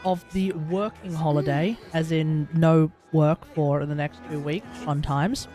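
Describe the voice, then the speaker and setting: The man on a late-night game stream, talking himself through his to-do list in a high voice over faint game music. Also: fairly even intonation, measured pace, mellow. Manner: rambling, thinking aloud. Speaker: livestream host. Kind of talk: casual gaming livestream chat.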